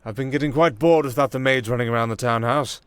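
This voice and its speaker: A man's voice: medium pitch, fast, clean tone, no room sound, voice pushed up, lightly acted. A man voicing a pompous, sneering, drunken young aristocrat fallen from grace, haughty and theatrical, in a roleplay audio drama. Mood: smug, haughty.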